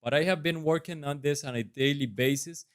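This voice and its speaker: A man's voice: a little high, fairly quick. A man in his thirties with a Costa Rican accent, earnestly and self-assuredly practicing his answers to residency interview questions on camera. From Costa Rica. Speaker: residency applicant.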